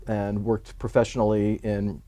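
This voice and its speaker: A man born in Cuba, a publicist, relaxed and rambling as he tells his life story in an interview. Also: on the low side, moderate pace. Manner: relaxed, rambling, many restarts and fillers. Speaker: Hollywood publicist, guest.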